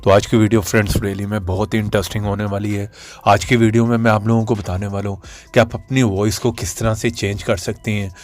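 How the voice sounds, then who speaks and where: A man's voice: lowish voice, flat delivery, fairly quick. Man narrating a casual app tutorial. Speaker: tech tips video host.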